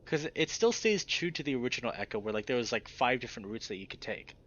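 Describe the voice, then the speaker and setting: A man's voice: mid-range voice, expressive, quick pace, fairly bright tone, highs cut off. A man reading a visual novel aloud expressively and breaking off for casual commentary. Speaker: gaming channel host.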